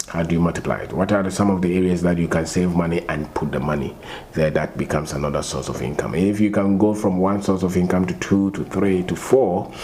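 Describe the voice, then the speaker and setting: Man, Ghanaian English accent, very low voice, off-the-cuff advice talk, rhetorical questions, frequent restarts. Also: a little mellow. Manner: repeats and restarts phrases, asks rhetorical questions. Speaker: finance talk host. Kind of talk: unscripted motivational talk.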